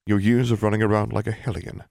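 A man voicing a cold, quietly menacing male official. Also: lowish voice, little pitch movement, fast talker, creaky, no room sound, studio quality, pitched above the performer's own voice.

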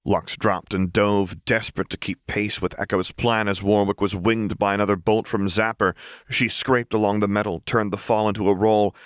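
Male audiobook narrator for action fiction: lowish voice, measured dramatic delivery, very dry close sound. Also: clear, resonant tone, no echo.

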